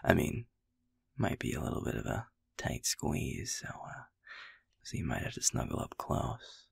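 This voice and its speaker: A man plays an easygoing, affectionate young boyfriend in an intimate audio roleplay. His low voice is thick with gravel and very breathy, recorded close and dry. He talks softly and haltingly. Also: big pitch swings, no echo, heavily acted. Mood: playful, affectionate.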